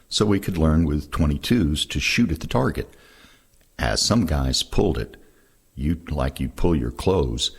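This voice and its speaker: A man's voice: extremely low pitch, gravelly, slightly rough. A man reading first-person war memoirs aloud in a plain-spoken, folksy storytelling way. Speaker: reader of veterans' oral histories.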